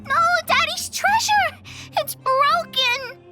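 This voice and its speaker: A woman's voice: very high-pitched, animated, slow, pushed and bright, faint music behind, full character voice. A woman voicing a bold, boastful little girl treasure hunter in a video game. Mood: dismayed. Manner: childlike, boastful, speaks of herself in third person.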